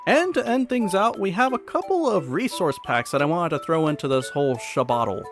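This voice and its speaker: A man's voice: a little high, animated, a little fast. A man giving casual, chatty, self-deprecating commentary as a video creator ranking things. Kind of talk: casual commentary over a tier list. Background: background music.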